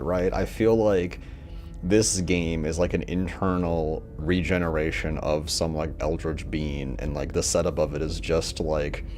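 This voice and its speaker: Very deep voiced dude rambling over a game, crude, lots of "like", faint music. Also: male voice, warm tone. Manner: rambling, crude, full of fillers. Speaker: let's play streamer. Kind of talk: casual gameplay commentary.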